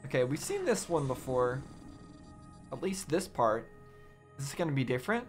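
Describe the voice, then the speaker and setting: A male reaction host with a mid-range voice talks casually, working things out aloud in halting bursts. Some music sits behind him. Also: mid-range voice, big pitch swings.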